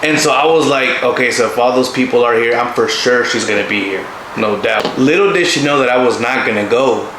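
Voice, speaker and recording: Male vlogger, 20s, medium pitch, jokey slang-filled casual chat, roomy sound with clear background noise. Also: fairly quick. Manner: joking, slangy. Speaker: couple's channel co-host.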